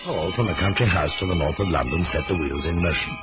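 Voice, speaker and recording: An actor playing a thoughtful, measured detective agency boss with a husky voice in an old-time radio mystery. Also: male voice, deep voice, over loud music, natural voice. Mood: reflective. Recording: vintage radio recording.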